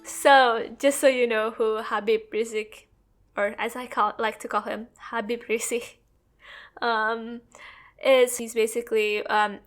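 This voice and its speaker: A woman co-hosting a podcast, explaining politics in long, earnest stretches of casual talk. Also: fairly high pitch. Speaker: podcast co-host.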